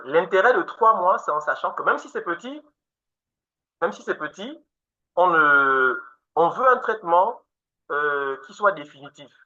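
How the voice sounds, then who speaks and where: A man hosting a webinar, answering listeners' questions in a steady explaining manner and counting off his points in order. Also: on the high side. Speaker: webinar host answering questions on natural detox treatments. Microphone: online video call. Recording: dull lo-fi call audio.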